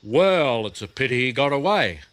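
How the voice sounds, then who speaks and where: A man's voice: mid-range voice, big pitch swings, normal speed, a bit bright, no room sound. A man voicing a big, matter-of-fact local policeman in a children's audiobook, speaking plainly with mild regret.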